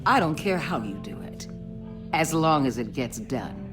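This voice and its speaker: A woman's voice: rumbling low, sing-song, unhurried, heavily acted. A hard, commanding female agency boss in a dramatic video game. She speaks bluntly and with ruthless authority. Faint music sits behind her voice.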